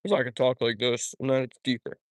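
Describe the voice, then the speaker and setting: A young man chatting loosely while he fishes by a pond, rambling from one thing to the next and answering his viewers as he goes. His voice sits at an ordinary middle pitch, and the recording is dry and close with no room sound. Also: fairly even intonation, brisk, no echo. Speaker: fishing YouTuber. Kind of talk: live-stream chat while fishing. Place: outdoors by a pond.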